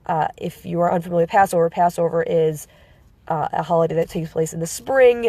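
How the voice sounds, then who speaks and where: A woman with a slight cold, explaining a holiday to viewers joining a live stream, pausing often with fillers and restarting her sentences. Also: on the low side, a little mellow, no echo. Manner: halting, with many fillers and self-corrections.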